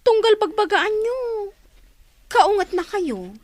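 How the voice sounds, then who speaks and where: A woman's voice: very high voice, sing-song, takes it slowly, a little mellow, dry recording, full character voice. A woman playing a young, dutiful village daughter in an Ilocano radio drama, earnest and concerned about her older brother. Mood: frustrated, concerned.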